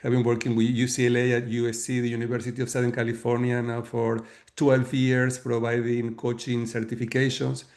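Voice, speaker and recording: Middle-aged Argentine man, Spanish-accented English, steady flat delivery, methodical guest speaker. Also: mid-range voice. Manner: measured, explanatory, frequent fillers and restarts. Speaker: guest author and leadership coach. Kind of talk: webinar talk.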